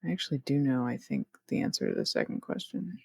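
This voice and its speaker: A young adult woman chatting casually as a tabletop game player, blunt and wry, with a gravelly, husky voice. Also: extremely low pitch, fast, a little mellow, dry recording. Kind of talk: casual, joking table banter.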